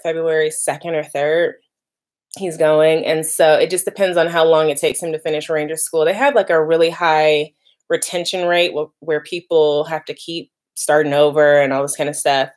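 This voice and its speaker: A woman's voice: low-pitched, fairly even intonation, moderate pace, a little mellow. A woman, chatting casually on a live stream and reading out viewer comments. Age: 30s. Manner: chatty, lots of "like" and "y'all", laughs easily. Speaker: vlogger doing a live stream.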